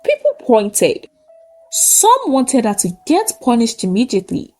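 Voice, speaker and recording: Woman storyteller, very animated high-pitched delivery. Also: a little slow. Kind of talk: dramatic folktale narration with a closing moral.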